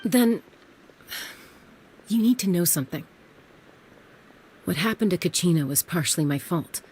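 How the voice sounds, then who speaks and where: A woman's voice: fairly low pitch, lively intonation, a little slow, a little mellow, slight background noise. A woman playing a proud, headstrong older sister and young fighter, defiant and curt, in a video game. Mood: resolute. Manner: defiant, self-assured, curt.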